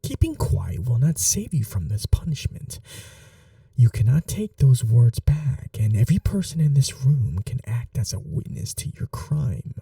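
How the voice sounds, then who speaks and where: A man playing a scheming young prince in an audio roleplay, speaking formally in a hushed, measured, imperious way. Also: mid-range voice, very animated, creaky, no room sound, far from the mic, exaggerated voice. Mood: stern, menacing. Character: scheming young prince, commanding yet tender to his bride. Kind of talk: dramatic ASMR audio roleplay.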